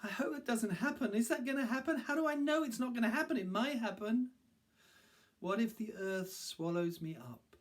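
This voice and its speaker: A man's voice: extremely high pitch, normal speed, few pauses, warm tone, voice pushed up. A man voicing a nervous little monkey in a told story, worrying frantically and stammering. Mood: anxious fretting.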